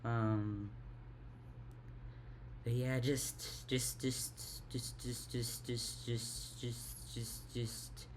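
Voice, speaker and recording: A young woman with a deep voice talks in a tired, halting way, stuttering and repeating words in a casual confessional vlog. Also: little pitch movement, a little mellow, a little hiss or hum, rough recording. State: tired, worn out. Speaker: vlogger.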